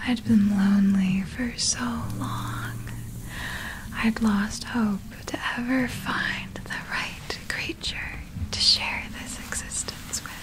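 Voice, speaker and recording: A woman playing a tender, possessive female vampire in an ASMR roleplay, speaking in a breathy whisper with formal, archaic, lingering phrasing. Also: mid-range voice, fairly even intonation, takes it slowly, natural voice, low-quality recording. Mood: yearning, adoring.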